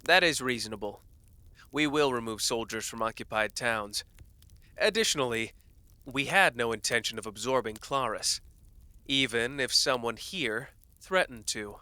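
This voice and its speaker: A man's voice: mid-range voice, moderate pace, pushed and bright, clear, resonant tone, no room sound, close to an everyday voice. A man playing a dignified, matter-of-fact ruler in a fantasy audio drama, speaking formally and firmly. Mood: firm, conceding. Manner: formal, firm. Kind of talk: dramatic audio roleplay acting.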